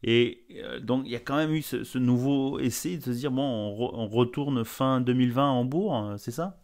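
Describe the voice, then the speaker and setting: Guy hosting a chatty podcast, clear smooth voice, lots of euh and side tangents. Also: mid-range voice, normal speed, a little mellow. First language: bilingual French-Spanish. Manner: chatty, hesitant with many fillers and digressions. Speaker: podcast host. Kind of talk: casual interview chat.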